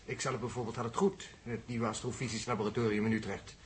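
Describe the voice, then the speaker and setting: A man's voice: mid-range voice, flat delivery, fast talker, close to an everyday voice. An actor playing a cool, precise astrophysicist crewman in a 1960s radio drama, with a dry manner. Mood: reflective. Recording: noisy old radio recording.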